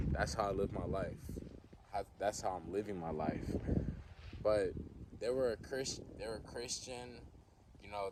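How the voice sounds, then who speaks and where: A man's voice: on the low side, noisy background. A man from the Southern US with a Southern accent, rambling in an unscripted monologue and saying "you know" a lot. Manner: rambling, frequent "you know", laid-back. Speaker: vlogger.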